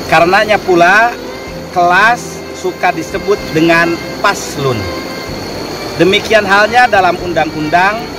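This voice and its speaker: A man giving an explanatory religious lecture in a measured, didactic way, phrase by phrase. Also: high-pitched, noisy background. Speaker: lecturer on Quranic studies. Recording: distant mic with music behind. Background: clear background music.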